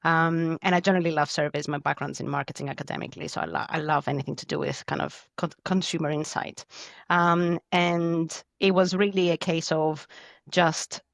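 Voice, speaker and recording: A woman's voice: deep voice, steady tone, moderate pace, creaky, dry recording, studio quality. A woman in her thirties from Greece with a Greek accent, chatting as a podcast guest with many fillers and restarts. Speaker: podcast guest, tech delivery lead. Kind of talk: conversational interview answers.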